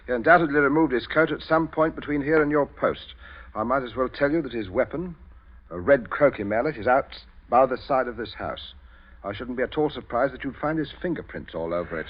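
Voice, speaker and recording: A man's voice: medium pitch, expressive, brisk, lightly acted. An actor playing a stern, pompous, calculating middle-aged English father in a clipped, condescending 1940s radio style. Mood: smug, chatty. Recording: muffled old radio recording.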